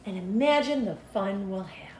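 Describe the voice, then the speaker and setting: A woman voicing an affectionate, reassuring mother from a storybook, gentle and encouraging. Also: medium pitch, expressive, normal speed, natural voice. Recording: echoey room with background noise.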